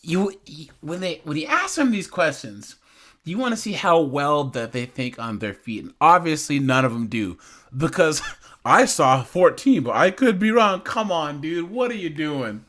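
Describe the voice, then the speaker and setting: A man giving informal reaction commentary as a host, repeating phrases and occasionally stuttering when excited. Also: a little high, unhurried.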